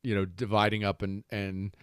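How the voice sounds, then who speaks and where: A man's voice: on the low side, level tone, moderate pace, clear, resonant tone, no room sound, studio quality. A man hosting a casual interview, chatting informally and with enthusiasm. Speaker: interviewer, host.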